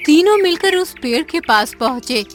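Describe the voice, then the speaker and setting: A woman narrating a children's cartoon story in a deliberate storytelling manner. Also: high voice, animated. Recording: narration over loud music.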